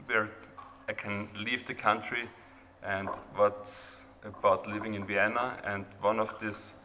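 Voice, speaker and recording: A man with an Austrian accent, a native German speaker, giving an informal, halting presentation of his own art project. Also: on the low side, flat delivery, bright, forceful tone. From rural Austria near the Hungarian border. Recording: reverberant hall, background noise.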